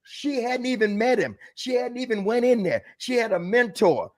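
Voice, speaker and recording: High-voiced man preaching emphatic advice. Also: animated, moderate pace. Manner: emphatic, preachy, rhetorical questions to the audience. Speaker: author and guest. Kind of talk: sermon-like advice talk.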